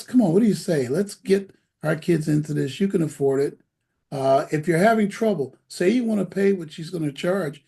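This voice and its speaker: A man's voice: fairly high pitch, gravelly, mellow. A man hosting a casual interview, talking to parents in a folksy, persuasive, rambling way. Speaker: host of an education program show.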